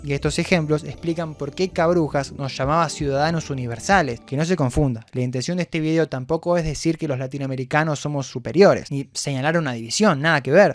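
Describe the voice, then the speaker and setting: A man with an Argentine accent who hosts a video essay, explaining his ideas in a reflective way with informal asides. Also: fairly high pitch. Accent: Argentine Spanish. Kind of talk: scripted video essay narration.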